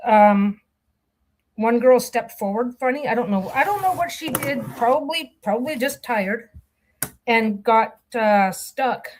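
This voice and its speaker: A woman's voice: on the high side, lively intonation, unhurried, darkish tone, slight background noise, low-quality recording. A middle-aged woman talking casually while she sews, telling a long meandering story with many restarts and distracted asides. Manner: chatty, meandering storytelling with frequent restarts and self-interruptions; distracted asides about her sewing. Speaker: vlogger sewing on camera. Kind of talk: rambling casual chat while sewing.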